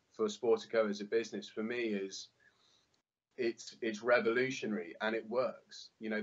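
A man in his thirties speaks in a lowish voice over a video call, earnest and a little halting as he doubles up words. The laptop audio is dull and lo-fi. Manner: earnest, repeats words while thinking. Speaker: interview guest. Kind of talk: remote interview answers. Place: indoor room with glass doors. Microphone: laptop webcam on video call.

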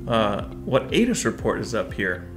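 A man's voice: fairly low pitch, wildly swooping pitch, normal speed, over music. A man in his forties with an American accent, explaining and coaching as a video host in a casual instructional style. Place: furnished living room, indoors.

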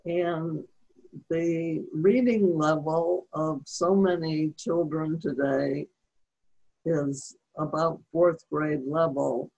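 An older woman asks a question from her living room over a video call. She speaks slowly and deliberately, with a gravelly voice. The call audio is muffled. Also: deep voice, steady tone, mellow. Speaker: audience questioner.

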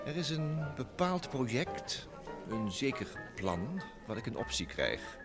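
An actor playing a smooth, talkative young private secretary and blackmailer, theatrically polite, in vintage radio drama style. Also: male voice, medium pitch, big pitch swings, slow pace. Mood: slyly calculating. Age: young adult. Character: smooth, sly thirty-year-old New York private secretary, a blackmailer. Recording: old radio play recording with music.

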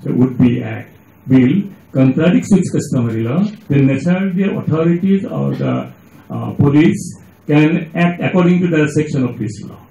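Gravelly Indian man on a panel. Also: on the high side, sing-song, normal speed, warm tone. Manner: emphatic, insistent, repetitive. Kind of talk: panel remarks to audience. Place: auditorium, seated at panel table. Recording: echoey auditorium recording.

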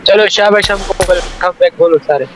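High male voice, bossy gaming banter, squad teammate, faint noise with game sounds. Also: moderate pace, fairly bright tone.

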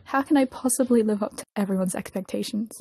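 Woman reading as weary young male idol, husky. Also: medium pitch, steady tone, fast, dark tone, no room sound, lightly acted. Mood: angry, despairing. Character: weary, self-doubting young male pop idol, older friend.

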